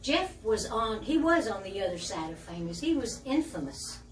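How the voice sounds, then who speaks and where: A woman hosts a casual interview and speaks warmly and admiringly of her guest. Her voice is captured from a distance in an echoey room. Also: medium pitch, very animated, normal speed, faint music behind. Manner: warm, admiring. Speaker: interview host.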